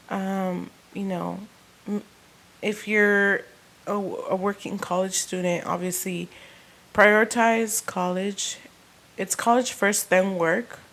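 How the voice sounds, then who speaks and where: Slow-talking, congested young woman. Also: mid-range voice. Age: young adult. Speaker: podcast co-host. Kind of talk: casual chat.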